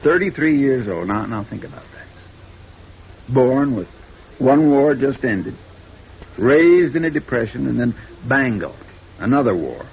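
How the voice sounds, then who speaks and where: A man's voice: medium pitch, lively intonation, a little slow, gravelly, pauses often, husky, exaggerated voice. A male actor playing a troubled, conscientious defense attorney, world-weary and emphatic, in a 1950s radio drama. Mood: regretful, reflective. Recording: dull, noisy vintage radio recording.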